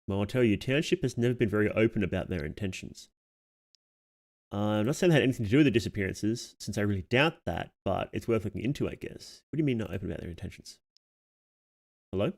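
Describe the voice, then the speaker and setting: A man's voice: fairly low pitch, lively intonation, fast, creaky, darkish tone. A man streaming casually, reading on-screen text aloud and musing on it as he goes. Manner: reads text aloud, muses and reacts aloud. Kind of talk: casual livestream reading and commentary.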